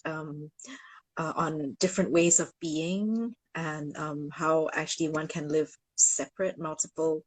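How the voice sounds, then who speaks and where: Low-voiced woman from Singapore, dry sound. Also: no room sound, low-quality recording. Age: 40s. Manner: thoughtful, many ums, self-deprecating humor. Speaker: ethnomusicologist guest. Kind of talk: casual online interview. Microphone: video call.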